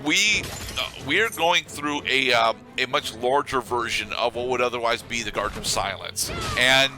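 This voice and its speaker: Rambling man commentating over game music. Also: mid-range voice. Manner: halting, rambling explanations. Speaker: let's play commentator. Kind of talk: casual gameplay commentary. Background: video game music.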